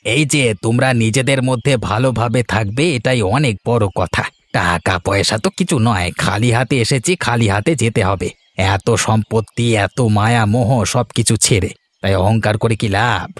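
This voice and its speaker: A man's voice: on the low side, normal speed, dry recording, close to an everyday voice. Man playing village enforcer, dry close sound. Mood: philosophical. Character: village headman's tough male enforcer, given to moralising. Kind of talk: cartoon moral story acting.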